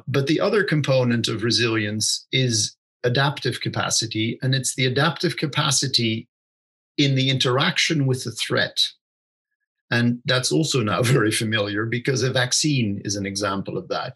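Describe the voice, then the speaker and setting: Husky-voiced man explaining ideas, thoughtful. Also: medium pitch, a bit bright, rough recording. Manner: thoughtful, restarts often, says "you know" and "right?". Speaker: interview guest. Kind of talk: conversational explanation.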